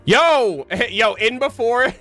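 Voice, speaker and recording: A man streaming a game, giving slangy casual commentary and thinking out loud. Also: extremely high pitch, lively intonation, slow pace. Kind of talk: casual playthrough commentary, reading game text aloud. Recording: game music behind voice. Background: game music.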